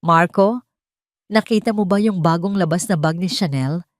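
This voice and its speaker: A woman's voice: fairly low pitch, normal speed, no echo, clean studio sound, natural voice. A woman voicing a vain, demanding young wife in an audio drama, indignant and insistent. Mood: insistent, defensive.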